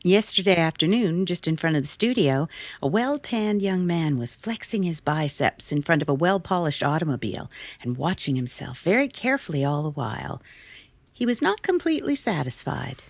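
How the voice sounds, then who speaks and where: A woman's voice: low-pitched, sing-song, normal speed, dry recording, heavily acted. A woman playing a poised actress wife who co-hosts a 1950s radio drama, presenting in a scripted style.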